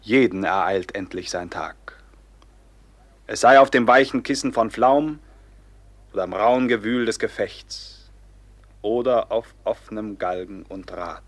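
A man's voice: fairly low pitch, a little slow, long pauses, dry recording. An actor playing an anguished, betrayed young man turned grim robber captain, declaiming with passion in a classic German radio drama. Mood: solemn, fatalistic. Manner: declamatory, impassioned, bursting from whispers into cries.

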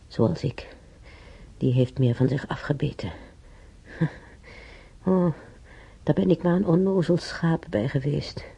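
An actress playing a weary, timid elderly former housemaid in a radio play, with a breathy, husky voice and halting, sighing delivery. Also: female voice, a bass voice, dark tone, exaggerated voice. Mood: wistful, admiring. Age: older. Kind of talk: naturalistic radio drama monologue and dialogue. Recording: dull, muffled radio play recording.

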